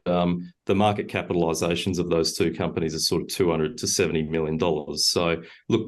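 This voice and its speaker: A man, a mining company director, answering interview questions in a measured way with frequent fillers and self-corrections. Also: sits low.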